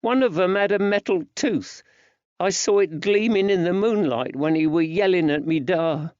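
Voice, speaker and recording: Man voicing a high-pitched, frightened young lad. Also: normal speed, a little mellow, clean tone, no echo, dull audio, natural voice. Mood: frightened, earnest. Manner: rustic old-fashioned working-class dialect. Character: frightened, grieving young working-class lad.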